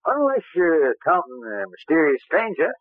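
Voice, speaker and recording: A folksy, laconic New islander in an old radio drama. His voice is fairly high and lilting, dryly drawling. The recording is narrow and tinny. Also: sing-song, moderate pace, no echo. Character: folksy, laconic male island selectman and boatman. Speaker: actor. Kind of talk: 1940s radio drama acting.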